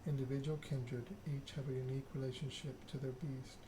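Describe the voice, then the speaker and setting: A man's voice: medium pitch, level tone, fairly quick, noisy background. A man doing an ASMR read-aloud of a narrative game, occasionally stumbling over the written text. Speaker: gaming channel host.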